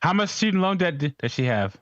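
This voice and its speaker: A man's voice: high voice, sing-song, quick pace, dry recording, muffled recording. A man co-hosting a call-in show, introducing callers and asking blunt, wry questions. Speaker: co-host.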